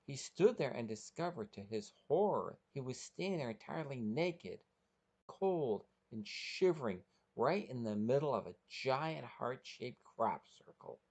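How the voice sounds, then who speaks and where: A man's voice: medium pitch, big pitch swings, unhurried, a little mellow, breathy edge. A man hosting a podcast and narrating a short story from a script.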